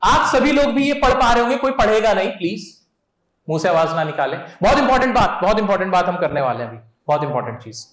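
Young man, high voice, energetic seminar teacher, handheld mic, roomy auditorium echo. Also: fast talker. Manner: energetic, coaxing, asks the crowd questions. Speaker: English speaking teacher. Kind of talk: live seminar lecture to students. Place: large auditorium stage. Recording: echoey hall.

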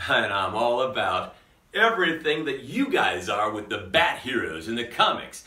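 A man's voice: mid-range voice, sharp tone, reverberant, distant mic. A middle-aged man hosting his own vlog from a home office full of props, talking to viewers in a campy, theatrical kids'-show-host way and chuckling often. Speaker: costume maker and vlog host.

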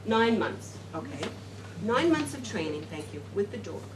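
A woman's voice: medium pitch, very animated, moderate pace, recorded from a distance. A middle-aged woman talking informally to a seated group in a classroom, rambling with many fillers and restarts. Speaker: speaker addressing a group. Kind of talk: informal talk to a seated audience. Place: classroom, indoors. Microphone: far camera. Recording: roomy classroom sound from afar with noise.

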